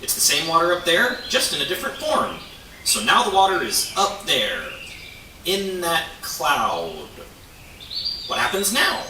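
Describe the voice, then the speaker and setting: An excitable young-hearted beaver puppet, acted out by a man, naive and curious, with a high voice that swings up and down. The delivery is exclamatory and easily upset, heard in an echoey room. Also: measured pace, full character voice. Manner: exclamatory, questioning, exaggerated. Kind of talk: children's puppet show acting. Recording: echoey room, distant mic, noisy.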